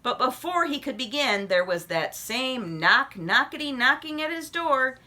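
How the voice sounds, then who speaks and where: A woman reading a children's story aloud as an expressive narrator. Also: high voice, a little slow. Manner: animated, addresses young listeners with questions.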